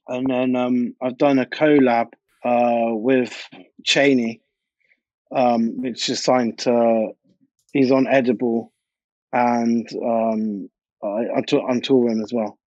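A man's voice: medium pitch, level tone, slow pace, a little mellow, no echo. Male interview guest, informal slangy chat, close and dry, slightly muffled lo-fi sound. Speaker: DJ and music producer, interview guest.

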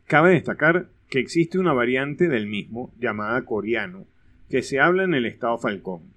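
A man with a Venezuelan accent giving scripted educational narration in a measured, explanatory way. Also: medium pitch.

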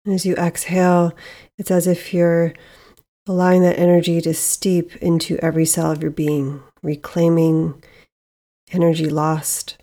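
Mellow, low-pitched woman guiding meditation. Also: little pitch movement. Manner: soothing, measured, with long pauses. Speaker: meditation guide, podcast host. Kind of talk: guided meditation narration.

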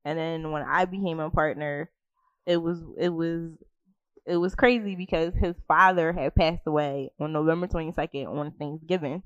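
30-something guy guesting on a podcast, smooth slightly high voice, lots of "like", muffled dry audio. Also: fairly even intonation, moderate pace, fairly bright tone, no room sound. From Philadelphia. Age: 30s. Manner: storytelling with lots of likes and restarts. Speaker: studio co-founder, guest. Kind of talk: casual podcast conversation.

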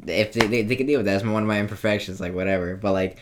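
Low-voiced young man with a lisp. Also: steady tone, background noise. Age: young adult. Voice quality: slight lisp. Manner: fast, repetitive, slangy, self-deprecating. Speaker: podcast co-host. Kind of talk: casual chat.

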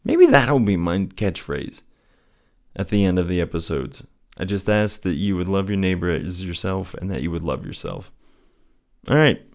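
Soothing, low-pitched man. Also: animated, moderate pace. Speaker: host of a personal faith show. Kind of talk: casual reflective solo talk with scripture reading.

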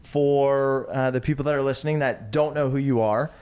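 A man's voice: mid-range voice, steady tone, normal speed, a little mellow, clean tone. A man hosting a casual podcast interview in an upbeat, chatty, welcoming manner. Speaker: podcast host.

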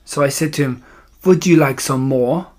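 A man's voice: mid-range voice, darkish tone, close to an everyday voice. Man putting on an imperious, malevolent witch. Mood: sneering. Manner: slow, emphatic, broken grammar. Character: imperious, malevolent female Grand High Witch plotting against children.

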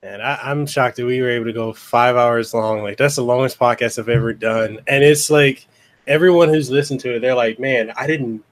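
A man's voice: medium pitch, normal speed. A man hosting a podcast interview in a casual, chatty style. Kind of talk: casual chat.

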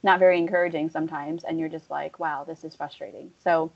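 A young woman talking casually and at length, full of fillers, turning animated when she quotes other people. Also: low voice, fast talker, gravelly. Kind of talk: casual conversation. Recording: dull lo-fi audio.

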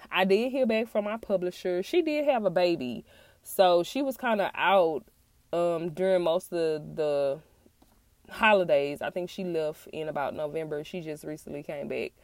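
A woman with a raspy, congested-sounding voice, getting over an illness, talks earnestly and haltingly in a personal monologue to her audience. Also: fairly low pitch, dry recording. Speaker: podcast host and author.

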